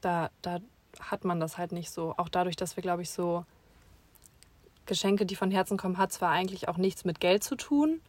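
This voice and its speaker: Junge Frau, zögernd im Plauderton. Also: female voice, fairly low pitch, moderate pace, no echo. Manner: hesitant, many restarts and fillers. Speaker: podcast co-host. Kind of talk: casual couple chat. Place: small camper van.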